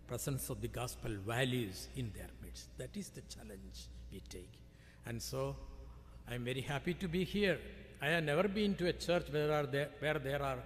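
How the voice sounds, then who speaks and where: A man's voice: a little high, slight background noise. A middle-aged man from India with an Indian accent, a visiting priest giving a sermon, earnest, with emphatic rises on key phrases. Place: large church, at the pulpit. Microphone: pulpit microphone. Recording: reverberant church from a far camera.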